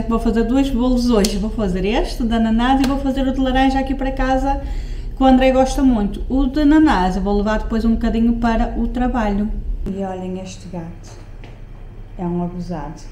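A chatty middle-aged woman with a European Portuguese accent talks her viewers through her cooking at home, picking her words up again whenever she slips. Her voice sits a little high but sounds soft and mellow. The camera mic catches it from a short way off in a roomy, echoing kitchen. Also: slight background noise. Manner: chatty, talks to viewers while working, often corrects herself. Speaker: lifestyle vlogger. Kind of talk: casual cooking vlog chat.

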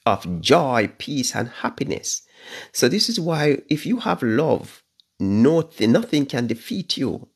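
Jamaican pastor, 50s, slow and fervent, husky low voice, livestream sermon from home. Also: male voice. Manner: fervent, repeats phrases, drawn-out stressed words. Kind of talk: livestream Bible study preaching. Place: living room at home, indoors. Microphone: phone camera at arm's length.

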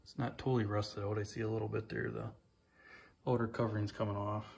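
A man's voice: lowish voice, flat delivery, quick pace, vocal fry, a little mellow, slightly rough. A man giving a casual, rambling walkaround of an old snowmobile in his garage, filmed on a handheld phone and often repeating "like I say". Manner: plain-spoken, rambling, repeats "like I say". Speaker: hobbyist restoring an old snowmobile. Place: garage workshop. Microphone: handheld phone camera.

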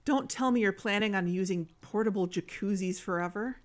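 Actress as self-styled expert investigator, woman, medium pitch, pushy, officious, insistent, audio drama. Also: fairly quick, close to an everyday voice. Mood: incredulous. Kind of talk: mock-documentary audio drama dialogue.

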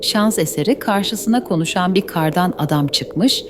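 A woman's voice: on the low side, animated, moderate pace. A woman narrating a scripted children's story as the storyteller. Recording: faint background noise.

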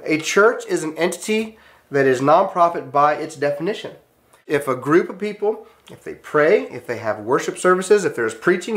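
An American man teaching a class, giving a storytelling lecture in an earnest, emphatic manner with short declarative sentences. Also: a little high.

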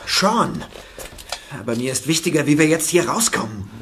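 A man's voice: a little high, sing-song, moderate pace, a bit bright, a little hiss or hum. Brusque, hot-tempered young guy. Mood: suspicious. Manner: brusque, confrontational. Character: impatient, suspicious young man, hot-tempered captive. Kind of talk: German radio play acting.

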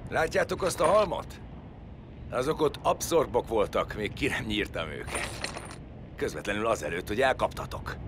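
A sardonic male captive in a sci-fi radio play. His low voice swings with cocky, foul-mouthed defiance over clearly noisy ambience. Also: lively intonation. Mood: eager. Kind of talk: science fiction audio drama acting.

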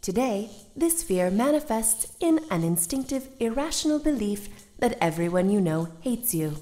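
A woman's voice: on the high side, big pitch swings, moderate pace, warm tone. A woman voicing a coolly analytical talking machine in an audio drama, clinical and matter-of-fact. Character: coolly analytical female talking machine reading people's psyches.

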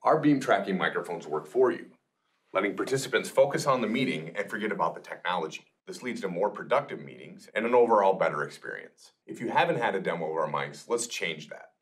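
A man's voice: sits low, expressive, quick pace. A middle-aged man giving a scripted product presentation to camera in a small meeting room. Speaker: product manager presenting a demonstration.

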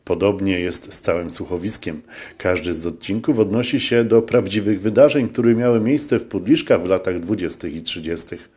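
Male reporter-narrator, deep and slightly rough, reading scripted news copy. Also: dark tone.

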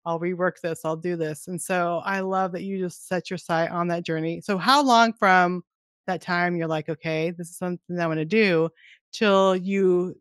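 A woman's voice: on the low side, steady tone, moderate pace, smooth, dry recording, studio quality. A middle-aged woman hosting an author interview from her home office, encouraging and affirming as she talks. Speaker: podcast host. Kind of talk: casual interview chat. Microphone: headset with desk microphone, video call.

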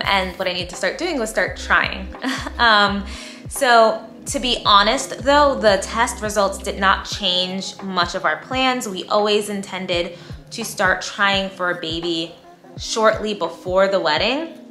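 A woman, chatting in a bubbly, talkative way as she vlogs to camera in a bright room at home. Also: medium pitch, takes it slowly, roomy sound, soft background music. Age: 30s. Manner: bubbly, chatty, talks with her hands. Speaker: lifestyle vlogger. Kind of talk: casual talk to camera.

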